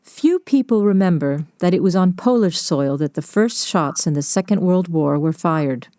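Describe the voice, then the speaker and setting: A woman's voice: lowish voice, expressive, moderate pace, warm tone, no room sound, clean studio sound. A woman reporter narrating a scripted radio documentary.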